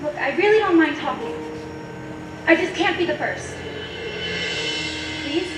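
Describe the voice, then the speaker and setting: Sassy young dancer, woman in a stage role, high voice, echoey stage from far off. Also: animated, over music. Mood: nervous. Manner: sharp, sassy, quick retorts. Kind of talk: stage musical acting. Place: theater stage.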